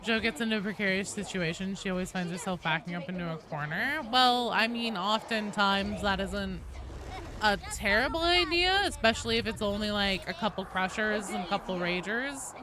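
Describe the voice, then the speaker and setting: A woman's voice: medium pitch, moderate pace, background noise. A woman game streamer chatting casually while playing, joking and swearing in loose slang.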